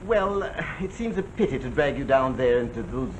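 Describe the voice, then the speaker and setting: An actor playing a sly, deferential schemer with a hesitant, ingratiating manner in a late-1940s live television drama. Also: male voice, medium pitch, sing-song, brisk, natural voice. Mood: feigned reluctance. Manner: hesitant, falsely reluctant, ingratiating. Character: sly, deferential schemer baiting a proud general. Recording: noisy old 1940s television soundtrack.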